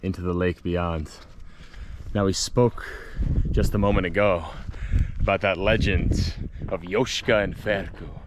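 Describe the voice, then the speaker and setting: Low-voiced man, playful vlogger, noisy outdoors. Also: steady tone, measured pace, long pauses. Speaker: vlog host and storyteller. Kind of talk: casual walking travel vlog with folktale storytelling. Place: outdoors, walking in a hilly lakeside village and vineyards.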